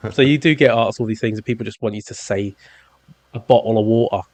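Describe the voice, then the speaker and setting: A man's voice: lowish voice, fast talker, darkish tone, no room sound. Man, London accent, thirties, podcast host, rambling, joking, ums, dry close mic. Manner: rambling, jokey, many ums. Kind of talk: casual chat.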